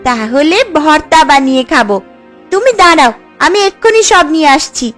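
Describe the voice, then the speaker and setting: A woman's voice: sits high, expressive, normal speed, a bit bright, soft background music. A woman voicing a cheeky, carefree village girl in a cartoon. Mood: playful, carefree. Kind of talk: Bengali cartoon voice acting.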